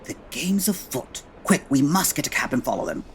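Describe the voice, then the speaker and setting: An actor playing a brisk, commanding male English detective, formal and articulate, in a comedy audio drama. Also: medium pitch, big pitch swings, noisy background. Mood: urgent.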